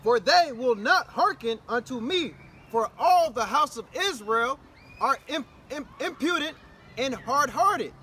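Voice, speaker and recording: A man's voice: very high voice, lively intonation, measured pace, sharp tone. A man reading scripture and definitions aloud as the reader for a preacher. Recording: outdoor recording with traffic noise.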